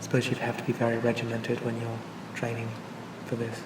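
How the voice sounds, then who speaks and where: A man playing a charming, pleasant AI assistant for a police officer in a soothing, gently reassuring ASMR roleplay. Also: medium pitch, completely flat delivery, a little fast, roomy sound, distant mic, background noise, lightly acted. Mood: calm, reassuring. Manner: gentle, hesitant, reassuring, conversational.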